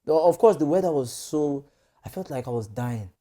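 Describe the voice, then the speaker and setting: A man's voice: on the high side, expressive, normal speed, warm tone. Chatty young Nigerian man. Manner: chatty, many "you know" fillers, laughs often. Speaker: guest. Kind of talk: casual storytelling interview.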